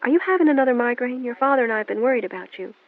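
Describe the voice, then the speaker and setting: A frantic, guilt-ridden mother pleads and stammers, as a woman puts her on for an audiobook. She pushes her pitch up well above the narration. The sound is thin and phone-like, with no room around it. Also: rapid-fire, no echo, close to an everyday voice. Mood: pleading. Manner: pleading, rising and breathless. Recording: narrow phone-like band, dry.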